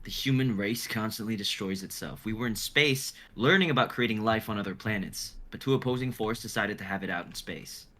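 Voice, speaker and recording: A blunt young survivor of a space crash, played by a man in an amateur drama reading. The voice is on the low side, with fatalistic, practical delivery. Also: fairly quick, few pauses, bright, forceful tone. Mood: bitter.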